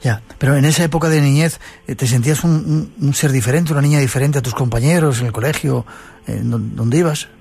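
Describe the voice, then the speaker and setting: A man hosting a radio interview, asking brisk questions. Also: mid-range voice, gravelly, darkish tone, husky, no room sound.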